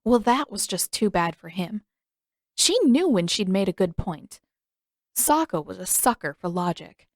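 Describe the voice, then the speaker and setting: A woman narrates fiction with an expressive, storytelling delivery. Her mid-pitched voice moves with lively intonation. The recording is close and dry, with very little room sound. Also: unhurried, no echo.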